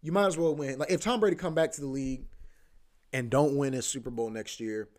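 A man's voice: medium pitch, fairly quick, a little mellow. A man hosting a podcast, rambling casually about sports, repeating himself, swearing and saying "bro" a lot. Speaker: podcast host.

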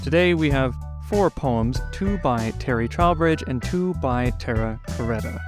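A man's voice: mid-range voice, animated, a little slow. A man reading a scripted introduction as a podcast host. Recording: music playing behind the voice. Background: plucked guitar theme music.

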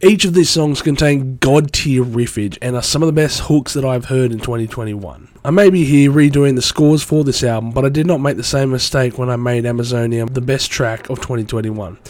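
A man's voice: mid-range voice, fairly quick, few pauses, a little mellow, no room sound. A man with a cold hosting his own music review podcast, talking in an enthusiastic, rambling way. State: has a cold. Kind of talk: solo podcast commentary.

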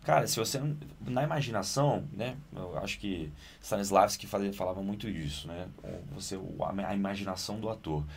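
Animated actor, low voice. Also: male voice, fairly quick, few pauses, slight background noise. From Naples, Italy. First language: Italian. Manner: animated, rises in pitch when excited. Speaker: actor guest. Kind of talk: interview conversation.

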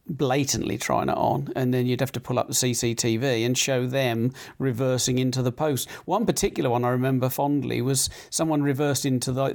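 A middle-aged man with a croaky throat, hosting a casual chat show, rambling with many fillers and restarts. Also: mid-range voice, a little mellow.

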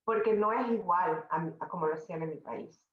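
A middle-aged Venezuelan woman, a podcast guest, telling her career story in a conversational, animated way with many restarts. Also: fairly low pitch, a little fast, reverberant, phone-quality sound. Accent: Venezuelan Spanish. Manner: animated, talks in restarts and asides.

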